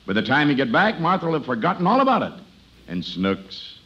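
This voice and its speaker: A man playing a gruff, blustery boss in a 1950s radio comedy. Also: fairly high pitch, sing-song, a little fast, a bit bright. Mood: gleeful. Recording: dull, very noisy old radio recording.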